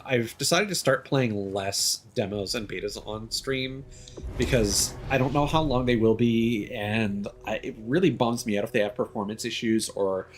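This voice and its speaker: A man's voice: mid-range voice, normal speed, slight background noise. A young man streaming a game, chatting casually with lots of fillers. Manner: rambling, many fillers, reads item text aloud. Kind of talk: casual gameplay commentary.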